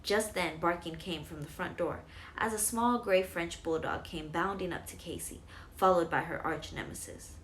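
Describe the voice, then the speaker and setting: A woman with a fairly low voice tells a bedtime story for children as a storyteller. Faint noise sits behind her on the recording. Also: moderate pace, fairly bright tone.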